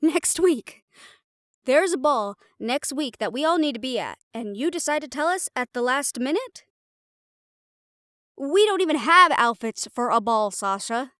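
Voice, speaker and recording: A sardonic, bossy siren from a fantasy audio drama voiced by a woman, slightly high in pitch. She speaks with sarcastic emphasis and sounds close and dry, quick to show exasperation. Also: animated, a bit bright, no echo. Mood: exasperated. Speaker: character in fantasy audio roleplay. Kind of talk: dramatic audio roleplay acting.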